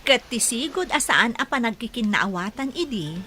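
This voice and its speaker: A woman's voice: a little high, lively intonation, moderate pace, noisy background, natural voice. An actress playing a sorrowful, dramatic woman in a radio drama, with an Ilocano accent. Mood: pained, dramatic. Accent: Filipino Ilocano.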